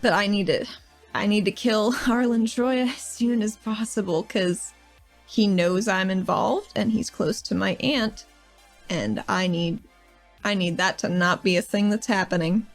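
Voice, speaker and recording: A woman's voice: mid-range voice, unhurried, soft background music. A woman playing her character in a tabletop game, speaking in a casual, rambling way as she recaps events. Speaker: player.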